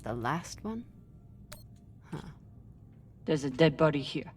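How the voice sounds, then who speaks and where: Guarded, commanding spaceship officer. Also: female voice, low-pitched, lively intonation, slow pace, a little mellow, recorded from a distance, a little hiss or hum. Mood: wary, subdued. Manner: terse, commanding. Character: tough, guarded female spaceship first officer, Belter scavenger. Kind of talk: video game cutscene acting.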